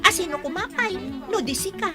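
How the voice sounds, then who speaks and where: A jealous, sharp-tongued young woman from a village comedy radio play, acted by a woman. Her high voice swings widely as she sneers and boasts in an Ilocano accent. Music plays behind her. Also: lively intonation, brisk. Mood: catty, mocking. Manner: catty, dramatic, sneering.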